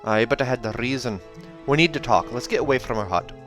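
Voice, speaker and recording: A man voicing a gruff, suspicious older dwarf in a storybook reading, with a grave, blunt manner. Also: mid-range voice, animated, quick pace, a bit bright, faint music behind, natural voice. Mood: wary.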